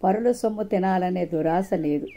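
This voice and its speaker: A woman's voice: on the low side, moderate pace, warm tone, close to an everyday voice. A woman voicing a cheerful, humble village farmhand man in a children's moral story, respectful and content.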